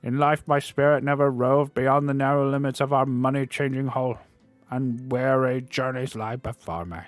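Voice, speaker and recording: A man voicing a mournful chained male ghost with high, moaning, drawn-out wails. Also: fairly even intonation, dry recording, faint music behind, natural voice.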